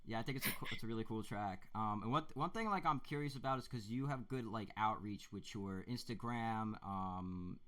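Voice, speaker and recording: A man's voice: fairly low pitch, normal speed, few pauses, a bit bright. A man hosting a music stream, interviewing a guest in a laid-back way and reading chat aloud. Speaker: stream host.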